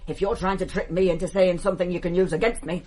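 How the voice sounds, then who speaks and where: An actress playing a cantankerous, proud old British widow in a radio play, grumbling and blunt. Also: female voice, fairly low pitch, steady tone, quick pace, mellow, close to an everyday voice. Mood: defensive. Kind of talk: radio drama acting.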